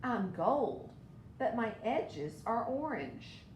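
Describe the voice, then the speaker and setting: A young leaf from a children's storybook, voiced by a woman. It is friendly but quick to envy, with a simple childlike manner. The room is echoey, with a clear low rumble. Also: sing-song, darkish tone, heavily acted. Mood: delighted. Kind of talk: children's storybook read aloud.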